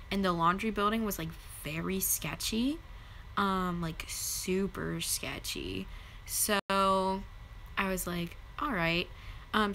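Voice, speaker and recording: A woman's voice: fairly low pitch, sharp tone, slight background noise, rough recording. A young woman with a head cold and a stuffed-up nose, rambling casually through a story with lots of fillers. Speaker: vlogger.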